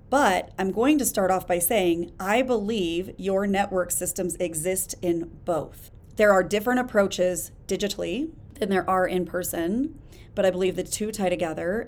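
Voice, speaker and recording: A woman hosting a solo podcast, talking in an energetic, emphatic, conversational way with a hoarse voice while she gets over a chest illness. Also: medium pitch. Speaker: podcast host. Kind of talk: casual solo podcast talk.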